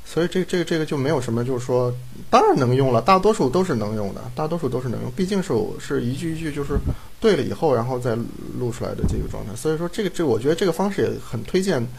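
Fast-talking gravelly man, rambling. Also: mid-range voice, expressive, darkish tone, a little hiss or hum. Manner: rambling, repeats his phrases. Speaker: audio drama director. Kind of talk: casual explanation in a group chat.

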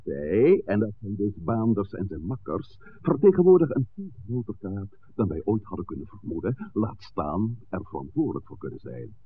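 Village priest: lowish voice, hugely varied intonation, shrewd, protective, oratorical; vintage radio drama on a tinny recording. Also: male voice, big pitch swings, breathy edge, heavily acted. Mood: persuasive. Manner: measured, oratorical, deliberate. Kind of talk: 1960s Dutch radio play acting.

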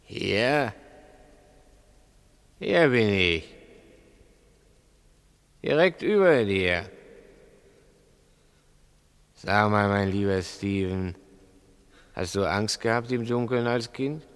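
Lively, taunting crook, very reverberant. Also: male voice, lowish voice, extremely slow, long pauses, clean tone, full character voice. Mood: sly, mocking. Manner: condescending, needling. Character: taunting, condescending male burglar, slyly mocking his partner. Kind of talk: 1960s German radio play acting. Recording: 1960s radio drama, reverberant stairwell sound.

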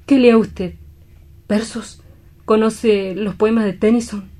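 A woman playing a grief-stricken, guilt-ridden doctor in a radio drama, solemn, halting and imploring. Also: medium pitch, steady tone, dark tone, close to an everyday voice. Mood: tender, haunted.

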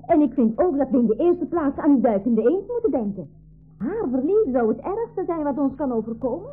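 High, animated, loyal young niece. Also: female voice, moderate pace, faint music behind. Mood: encouraging. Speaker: radio drama actor. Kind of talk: 1980s school radio play acting. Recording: dull old radio recording.

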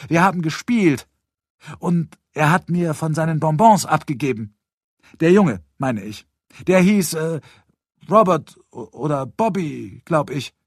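A man's voice: on the high side, animated, unhurried, husky, dry recording, clean studio sound, pitched above the performer's own voice, heavily acted. A man voicing a chatty, unfazed little girl in an audiobook, with a matter-of-fact, childlike manner. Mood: eager, chatty. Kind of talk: audiobook character voice.

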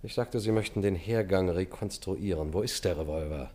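An actor playing a stern police investigator in a German radio crime drama, questioning in a clipped, insistent way. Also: male voice, sits low, moderate pace, darkish tone, close to an everyday voice.

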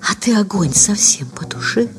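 An actress playing a sullen, alluring sexton's wife in a radio play, speaking in a hushed, coaxing, folksy way. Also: female voice, medium pitch, expressive, normal speed, close to an everyday voice. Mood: hushed, irritated. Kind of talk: Russian radio play acting. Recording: music behind the voice.

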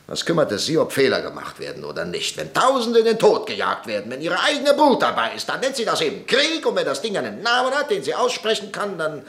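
An actor playing a stern, opinionated, easily angered middle-aged father and parliamentarian in a radio play, probing and gruff. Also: fairly high pitch, huge pitch swings, fast talker, hardly any pauses, fairly bright tone. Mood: bitter, angry.

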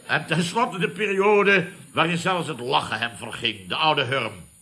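An actor playing a pompous, nostalgic company boss who orates emphatically, in a Dutch radio comedy serial. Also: male voice, on the high side, a little slow, bright, forceful tone. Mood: wistful, declaiming. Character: bombastic, nostalgic male boss of a building firm.

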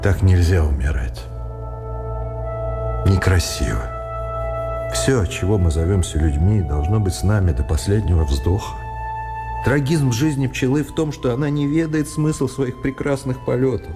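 An actor playing an eloquent, lofty and humane intellectual in a radio drama, speaking in ornate rhetorical phrases. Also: male voice, on the low side, animated, normal speed, lightly acted. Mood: lofty, solemn. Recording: reverberant radio play with music behind.

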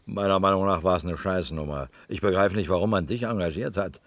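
An actor in a German radio play, playing a brash, bossy but chummy worker with a blunt, colloquial way of talking. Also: male voice, sits low, brisk, no room sound, clean studio sound, lightly acted. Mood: chatty, blunt. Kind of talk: German radio drama acting.

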